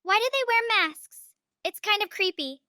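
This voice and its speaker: Little kid in an audio drama, super high voice, shy n curious, asks stuff kinda hesitantly. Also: female voice, lively intonation, moderate pace, bright, forceful tone, no room sound, clean studio sound, full character voice. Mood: uneasy, curious. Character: curious small child, shy and admiring. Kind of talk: audio drama character acting.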